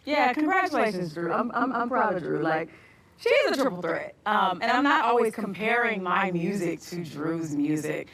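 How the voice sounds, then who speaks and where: A woman speaks on a reality show reunion, defensive and quick to interrupt. Her voice sounds set back from the mic, like distant TV audio. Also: mid-range voice, lively intonation, a bit bright. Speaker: reality show cast member.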